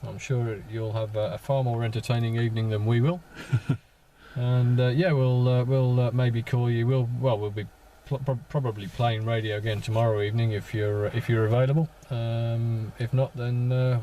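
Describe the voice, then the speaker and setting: Relaxed, polite male mobile radio operator, mid-pitched, casual and a little hesitant, slight background noise. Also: moderate pace, phone-quality sound. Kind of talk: casual two-way radio chat. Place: inside a parked four-wheel drive. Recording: faint radio static in a car.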